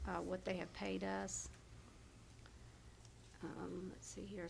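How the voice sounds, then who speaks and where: Lady, hoarse and super gravelly, reading out budget numbers with lots of ums, bit of hiss. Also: lowish voice. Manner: explains figures with fillers and self-corrections. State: hoarse, losing her voice. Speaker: county health department official presenting budget. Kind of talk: budget committee presentation. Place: county government meeting room.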